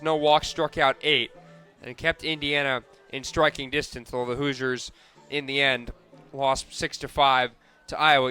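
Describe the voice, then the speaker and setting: A man's voice: medium pitch, sharp tone, clean tone. A man doing radio sports commentary as a play-by-play announcer.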